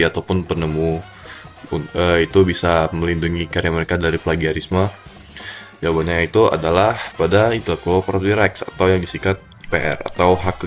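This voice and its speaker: Man, very low voice, stuffy nose, halting delivery full of fillers, faint music behind. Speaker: student presenter. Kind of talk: class presentation. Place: cold room.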